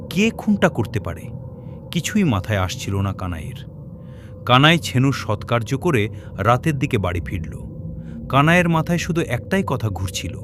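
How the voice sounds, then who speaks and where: A man narrating a scripted horror story in a dramatic, suspenseful, expressive way. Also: mid-range voice, noisy background. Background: suspense music, cricket sounds.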